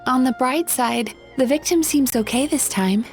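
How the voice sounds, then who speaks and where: Gentle, high-voiced young dream healer. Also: female voice, soft background music. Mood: calm reassurance. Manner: soothing, measured, reassuring. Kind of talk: anime game voice acting.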